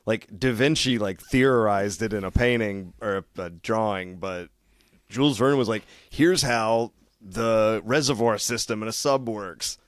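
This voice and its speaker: Low-voiced rambling young man. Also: measured pace, no room sound, studio quality. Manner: rambling, laughs often, swears casually. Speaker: podcast co-host. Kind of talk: casual chat.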